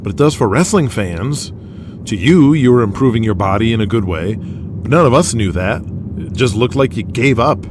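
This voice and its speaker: A man's voice: mid-range voice, animated, moderate pace, background noise, close to an everyday voice. A man voicing an earnest, nervous young wrestling fan who talks candidly in an audio drama. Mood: apologetic, frank.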